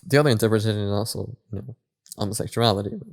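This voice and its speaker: A man giving casual reaction and analysis commentary as a video host, halting as he searches for his words. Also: on the low side, gravelly.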